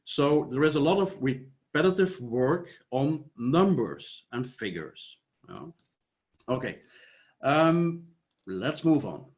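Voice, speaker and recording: A man from Flanders with a Flemish accent, whose first language is Dutch, giving a measured, explanatory online conference presentation over a video call. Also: mid-range voice, very animated, darkish tone. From Flanders, Belgium. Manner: measured, explanatory, trails off with "et cetera". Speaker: software company founder presenting.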